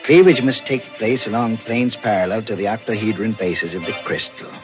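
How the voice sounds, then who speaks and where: A ruthless, impatient male killer played by a man confesses in a lowish voice. It is vintage thriller radio, muffled, with prominent music. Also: a little fast, natural voice. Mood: obsessed, intense. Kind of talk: 1940s radio acting, first-person narration.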